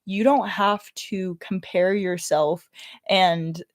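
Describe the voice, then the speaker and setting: A woman's voice: fairly low pitch, fairly even intonation, slow pace, no room sound, studio quality. A young adult woman with a Californian, slightly Valley Girl accent, chatting casually on a livestream in a rambling, self-interrupting way peppered with filler words. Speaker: livestream host and YouTuber.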